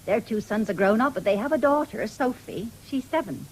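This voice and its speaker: A woman's voice: on the high side, expressive, brisk, darkish tone, close to an everyday voice, rough recording. An actress with an English accent playing a firm but loving country mother in a radio drama. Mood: polite. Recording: faintly hissy 1970s radio recording.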